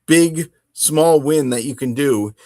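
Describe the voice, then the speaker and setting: A man's voice: on the high side, takes it slowly, warm tone, no echo, studio quality. A middle-aged man hosting a casual solo hobby podcast, talking in an informal, rambling way with emphatic turns of phrase. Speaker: podcast host.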